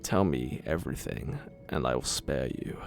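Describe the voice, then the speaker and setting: Mock-threatening villain, put on by a male gamer: deep, drawn-out menace over light game music. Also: lively intonation, creaky, exaggerated voice. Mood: menacing.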